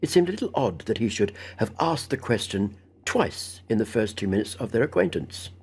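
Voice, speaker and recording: A man's voice: fairly low pitch, sing-song, fairly quick, a little mellow, husky. A man narrating a literary ghost story as an audiobook reader.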